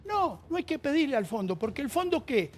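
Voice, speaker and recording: A man, a panelist on a television debate who speaks haltingly and repeats words. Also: very high-pitched, big pitch swings.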